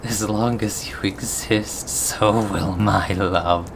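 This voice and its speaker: A man acting an obsessive, sadistically tender lover in a scripted ASMR roleplay, taunting with breathy chuckles. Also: fairly low pitch, fairly even intonation, takes it slowly, noisy background. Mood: defiant, obsessive.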